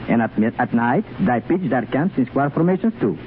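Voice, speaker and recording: Animated man on tinny vintage radio. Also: mid-range voice, fairly quick. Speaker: former French Foreign Legionnaire, radio guest. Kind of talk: semi-scripted 1930s radio interview. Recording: scratchy, tinny 1937 radio broadcast.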